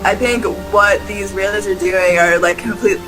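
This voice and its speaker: Rambling speaker over loud music. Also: medium pitch, steady tone, normal speed, poor audio. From United States. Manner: rambling, full of "like" and restarts. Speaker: interviewee. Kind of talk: rambling casual chat. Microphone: video call.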